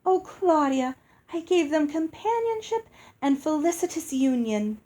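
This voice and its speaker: A woman's voice: high voice, lively intonation, measured pace, warm tone, voice pushed up. A woman voicing a vain, beautiful storybook fairy in a musical, lilting, gushing and self-satisfied way. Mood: gushing, defiant. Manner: gushing, breezily defiant. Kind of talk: acted children's storybook reading.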